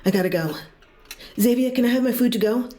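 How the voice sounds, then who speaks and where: A woman playing a detective chief inspector in an audio drama, asking brisk, clipped questions. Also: on the high side, dark tone, close to an everyday voice. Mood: curt.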